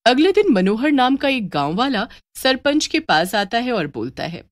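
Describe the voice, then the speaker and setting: A woman's voice: fairly high pitch, big pitch swings, normal speed, no echo, clean studio sound. A woman narrating a scripted story as its narrator.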